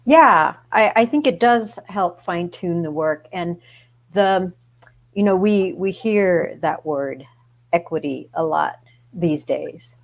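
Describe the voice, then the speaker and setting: A woman city council member in an online book discussion, speaking in a measured way and repeating words as she thinks. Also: on the low side, expressive. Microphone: video call.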